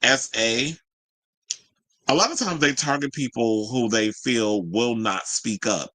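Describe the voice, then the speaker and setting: A man's voice: medium pitch, slow pace, bright, forceful tone, no room sound. A man hosting casual gossip commentary, chatty and candid, with a sassy, colloquial way of talking to his audience. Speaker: host of a commentary show.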